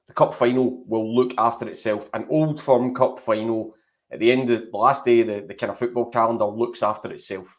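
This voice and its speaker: A man with a Scottish accent talking through his opinions as a guest on a casual football podcast, measured and teasing. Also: mid-range voice, fairly even intonation. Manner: measured, thinks aloud, teasing. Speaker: podcast panelist.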